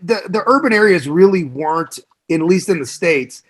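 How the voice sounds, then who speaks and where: A man's voice: sits high, animated, moderate pace. A man with a Chicago accent, a former wrestler, chatting casually and laughing often in an interview. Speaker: former pro wrestler, guest.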